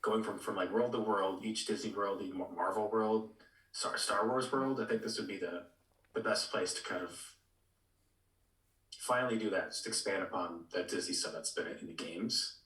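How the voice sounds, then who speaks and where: Deep-voiced man in an echoey room. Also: a bit bright, recorded from a distance. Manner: halting, repeats words as he thinks. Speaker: podcast co-host. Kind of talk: casual chat, pitching ideas. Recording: roomy, echoey, away from the mic.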